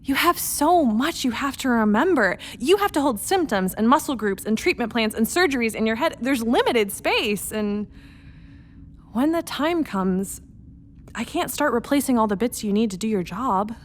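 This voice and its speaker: Actress doing a young-hearted space pilot lady, teasing but anxious, lively highish voice, radio drama. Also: moderate pace, a little hiss or hum, close to an everyday voice. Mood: worried, earnest. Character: anxious, playful woman spaceship pilot in love. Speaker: actor in a radio play. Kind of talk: naturalistic radio drama dialogue.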